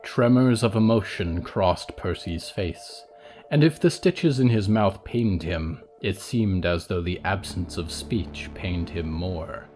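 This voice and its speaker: Low-voiced man narrating solemn horror fiction. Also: slow pace, a little mellow. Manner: solemn, melancholic storytelling. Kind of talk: scripted literary horror narration. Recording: music under the voice. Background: instrumental music.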